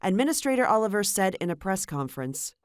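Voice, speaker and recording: A woman voicing a news anchor who reads the news in a formal, crisp way. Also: medium pitch, big pitch swings, fast, lightly acted. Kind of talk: news reading.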